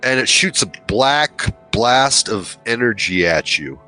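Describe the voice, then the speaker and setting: A man's voice: mid-range voice, animated, slow, sharp tone. A man acting as game master, narrating and refereeing a tabletop role-playing game over music. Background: background music.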